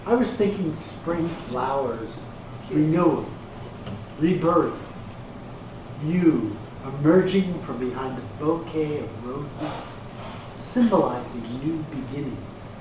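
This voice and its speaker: Far off in a very echoey, noisy hall, a man acts out a slick promoter delivering his pitch slowly. He is grandiose and placating, selling hard in stage-reading style. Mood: coaxing, reassuring. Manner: salesman's patter, grandiose, placating. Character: slick, smooth-talking male promoter pitching a glamour calendar. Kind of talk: readers theater stage acting. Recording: echoey hall from far off, hissy room noise.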